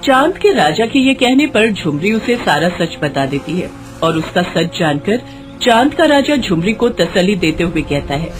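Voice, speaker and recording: Very expressive woman storyteller. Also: on the low side, normal speed. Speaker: story narrator. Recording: music behind the voice.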